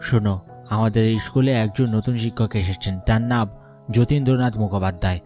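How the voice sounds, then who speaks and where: A man's voice: lowish voice, fast talker, warm tone, natural voice. A man playing a school peon in a Bengali cartoon, explaining and persuading like an uncle to students. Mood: persuasive. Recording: background music under the voice.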